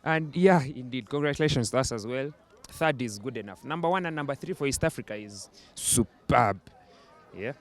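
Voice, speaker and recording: A man's voice: mid-range voice, moderate pace. A man from Uganda chatting on a podcast panel outdoors, laughing often and sounding friendly. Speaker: panelist, rugby writer. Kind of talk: casual panel chat.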